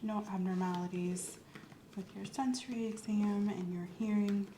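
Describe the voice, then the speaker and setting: A woman as a soft-spoken doctor in a roomy space. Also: medium pitch, fairly even intonation, moderate pace, slight background noise, lightly acted. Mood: calm, professional. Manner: gentle, methodical, clinical explanations. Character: calm, professional female doctor doing a patient exam. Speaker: ASMR host playing a doctor. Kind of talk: ASMR medical roleplay, soft-spoken.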